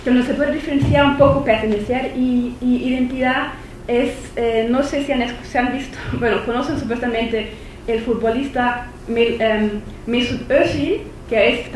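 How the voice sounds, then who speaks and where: A woman's voice: on the high side, moderate pace. A woman speaking with a German accent, a non-native speaker giving an academic conference lecture at a university, hesitant, with many fillers and restarts. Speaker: visiting lecturer. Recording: echoey lecture hall, faint noise.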